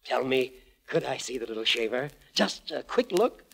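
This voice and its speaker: A man's voice: medium pitch, animated, normal speed, vocal fry, fairly bright tone, slightly rough, heavily acted. A man playing a pompous, blustering magazine publisher in 1940s radio comedy style, easily outraged and declamatory. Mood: eager, bossy.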